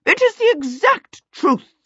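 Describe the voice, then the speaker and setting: A woman's voice: very high voice, very animated, a little slow, sharp tone, no room sound, dull audio, pitched above the performer's own voice, exaggerated voice. A woman voicing a stately, imperious aunt in a storybook, haughty, commanding and formal.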